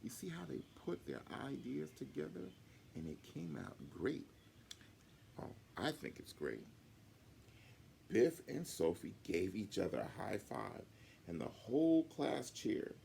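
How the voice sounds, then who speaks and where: Slow, expressive man reading to children. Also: mid-range voice, creaky, slight background noise. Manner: chatty asides to young listeners. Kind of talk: animated picture-book read-aloud.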